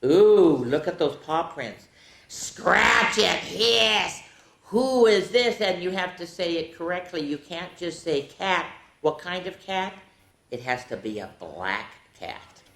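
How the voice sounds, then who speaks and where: A woman hosting a children's storytime, reading picture books aloud in a playful, theatrical way with casual asides and drawn-out words. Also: fairly low pitch, expressive, gravelly, fairly bright tone, slight background noise. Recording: echoey, roomy sound.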